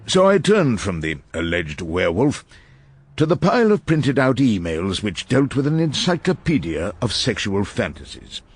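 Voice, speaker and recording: An irascible old English barrister in a radio drama. His husky voice sits on the low side and swings expressively through wry, ornate, literary sentences. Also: sing-song, moderate pace. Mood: wry, world-weary. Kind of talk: radio drama narration and dialogue.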